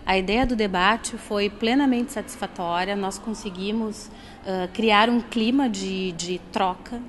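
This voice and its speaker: A woman teacher answers an interviewer at a middle, even pitch. She speaks thoughtfully, filling gaps with small hesitations. Faint background noise is present. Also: a little slow. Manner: thoughtful, hesitant with frequent fillers. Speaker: teacher, group facilitator.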